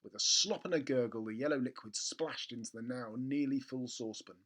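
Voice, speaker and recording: A man's voice: medium pitch, a little fast, fairly bright tone. A man around forty reading a children's story expressively, close on a mic in a living room. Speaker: storybook reader. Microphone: close studio mic with pop filter.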